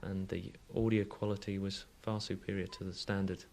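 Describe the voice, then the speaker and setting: Careful male engineer, fairly low voice. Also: level tone, normal speed, vocal fry, background noise. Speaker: engineer guest. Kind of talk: conversational interview answers.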